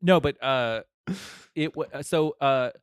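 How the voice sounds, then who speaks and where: A man's voice: medium pitch, measured pace, clear, resonant tone, no echo, clean studio sound. A man co-hosting a casual podcast chat, telling stories with lots of laughter and restarts. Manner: animated storyteller, laughs often, many restarts. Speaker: podcast co-host.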